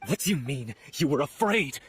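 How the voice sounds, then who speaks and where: Intense higher-voiced man played by an actor. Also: lively intonation, normal speed, a little hiss or hum, natural voice. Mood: furious. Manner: intense, accusatory, melodramatic. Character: hot-headed, grieving lovelorn male stage actor. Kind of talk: dramatic video game acting.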